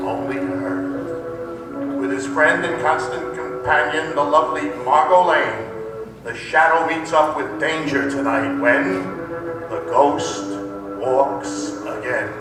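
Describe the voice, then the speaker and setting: Ominous radio-serial announcer, acted by a man, theatrical, slow and drawn-out, echoing hall with loud music. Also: fairly high pitch, distant mic. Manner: dramatic, drawn-out delivery. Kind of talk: 1940s radio acting, scripted narration.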